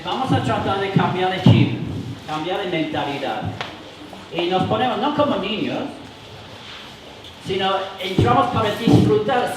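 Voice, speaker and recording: A man with a foreign accent, not a native speaker, leading a church workshop for adults, halting with many fillers and restarts. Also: high voice. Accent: foreign accent in Spanish. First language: not Spanish. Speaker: workshop leader. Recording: roomy hall, mic from far, noisy.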